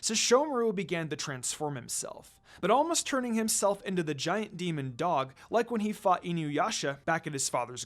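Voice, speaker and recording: A fan fiction reader narrating a story in a dramatic reading style. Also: mid-range voice.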